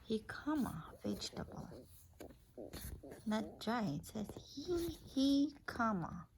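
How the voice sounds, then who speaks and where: A woman's voice: on the high side, big pitch swings, takes it slowly, darkish tone, slight background noise, rough recording. A woman reading an alphabet picture book aloud as a mother to a child, naming words one by one in an instructive way with casual asides.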